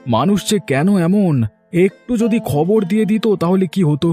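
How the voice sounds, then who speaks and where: A man's voice: sits high, animated, moderate pace, mellow, faint music behind. A man voicing a worried village husband in a cartoon, gloomy and brooding. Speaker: cartoon character.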